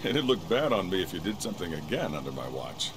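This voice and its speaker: A gruff, middle-aged man in a game cutscene, grumbling in an offhand way yet caring. His low voice swings expressively over the noise of an idling car engine. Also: very animated, quick pace. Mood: gruff, grudging. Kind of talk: animated game cutscene dialogue.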